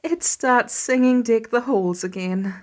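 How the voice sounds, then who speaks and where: A woman narrating fiction in an expressive scripted reading style, as an audiobook reader. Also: on the high side, unhurried.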